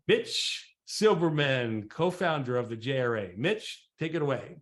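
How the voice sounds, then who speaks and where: A man's voice: medium pitch, lively intonation, a little slow. A man acting as an announcer, handing over to a speaker at an event. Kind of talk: event introduction.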